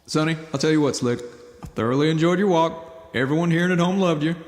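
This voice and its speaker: A man's voice: fairly high pitch, quick pace, natural voice. A man playing a slick secret agent turned pageant judge with folksy patter in an audio drama. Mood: smooth praise. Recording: echoey hall with long reverb.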